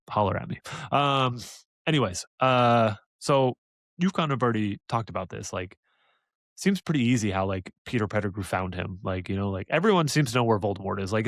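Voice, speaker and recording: A fairly low-pitched man chatting on a fan podcast, full of eager ideas and quick laughs. His voice sounds dry and close, with no room around it. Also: expressive, no echo. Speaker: podcast co-host. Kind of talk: casual fan chat.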